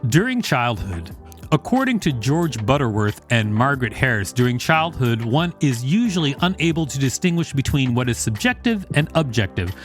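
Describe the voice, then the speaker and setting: A man reads an encyclopedia article aloud as a podcast host. His voice sits at a middling male pitch, with faint music underneath. Now and then the narration makes room for a short aside. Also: big pitch swings, normal speed.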